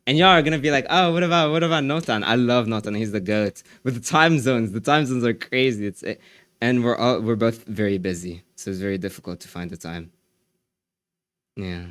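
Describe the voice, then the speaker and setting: Low-voiced man chatting with his stream. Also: a little fast, a bit bright, no echo. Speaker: streamer reading chat. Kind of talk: casual live-stream chat.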